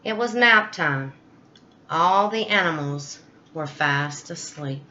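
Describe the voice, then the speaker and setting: Animated, slow-paced woman reading a storybook. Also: deep voice, lo-fi sound. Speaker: storybook reader. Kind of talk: expressive children's story narration.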